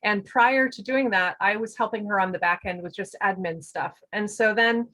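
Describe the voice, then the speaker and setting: A woman's voice: medium pitch, normal speed, pushed and bright. Chatty woman on a video call. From United States. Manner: chatty, laughs often, many fillers. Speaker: guest, business owner. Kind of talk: casual interview talk.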